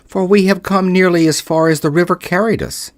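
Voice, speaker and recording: A man's voice: high-pitched, fairly even intonation, fairly quick, no room sound, voice pushed up, natural voice. A man voicing a friendly, eager male scarecrow in a storybook reading.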